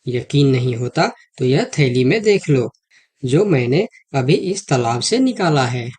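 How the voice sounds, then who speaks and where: A man as a smooth-talking, sly cartoon jackal. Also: medium pitch, very animated, a little slow, darkish tone. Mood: boastful, persuasive. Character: sly male jackal swindler, smooth-talking and falsely friendly. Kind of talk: Hindi children's cartoon story dialogue.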